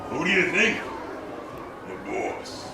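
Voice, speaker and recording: Irritable streetwise carny, surly slang, radio serial, echoey theater, far from mic. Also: male voice, fairly high pitch, big pitch swings, takes it slowly, vocal fry, noisy background, full character voice. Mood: bitter. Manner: surly, slangy tough-guy talk. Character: irritable, nasty male carnival barker, gruff streetwise crook. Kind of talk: live radio drama in old detective serial style. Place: theater stage, live performance.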